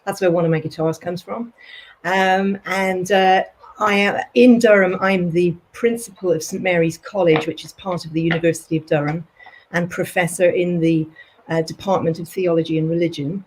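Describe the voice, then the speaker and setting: A woman's voice: fairly low pitch, steady tone, moderate pace, darkish tone, a little hiss or hum, low-quality recording. A woman academic talking conversationally as a panelist in an online discussion. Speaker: panelist, theology professor and college principal.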